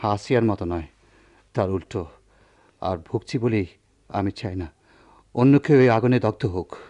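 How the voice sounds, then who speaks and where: A male actor plays a sick, tormented village doctor in a radio play. His voice is low and weary, with groaning breaths. He speaks in formal, poetic phrases with a brooding, declamatory weight. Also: normal speed, mellow, close to an everyday voice. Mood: earnest, bitter. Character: ailing, anguished village doctor, gentle and brooding. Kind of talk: Bengali radio drama acting, poetic monologue.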